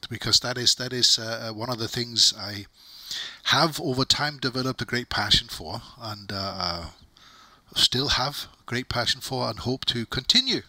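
A middle-aged man with a very bright, sharp voice, captured dry and close. He is a non-native English speaker giving conversational interview answers. He talks emphatically and at length, repeating words to drive a point home. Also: mid-range voice, normal speed, no room sound. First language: not English. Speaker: interviewee, technical documentation expert.

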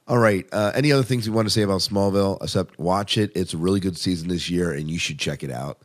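Guy with a low voice hosting a tv review podcast, just chatting casually. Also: quick pace. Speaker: podcast host. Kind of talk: casual review chat about TV shows.

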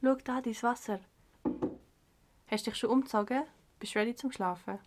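A woman's voice: a little high, normal speed, background noise, lightly acted. An actress with a Swiss German accent playing a caring young woman in a radio drama. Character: caring young woman looking after her drunk best friend.

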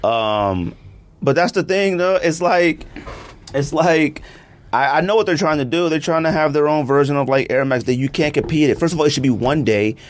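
A man's voice: a little high, normal speed. A man co-hosting a podcast in casual chat, ranting with lots of laughter. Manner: animated, ranting, laughs often. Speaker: podcast co-host.

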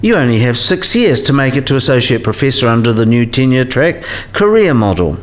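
A man playing a cynical, sidelined professor in a radio play, explaining things in a wry, didactic way with measured phrasing. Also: mid-range voice, sing-song, close to an everyday voice. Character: cynical, sidelined male professor giving career advice.

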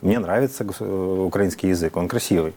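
Fast-talking man, low and mellow. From Ukraine. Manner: earnest, explanatory, frequent hesitations. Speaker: member of parliament, guest. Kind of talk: interview answers.

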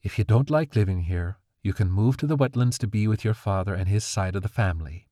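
A man's voice: on the low side, a little fast, dark tone, no room sound, studio quality, lightly acted. A man voicing a strict fairy mother, speaking in a stern, emphatic, scolding way.

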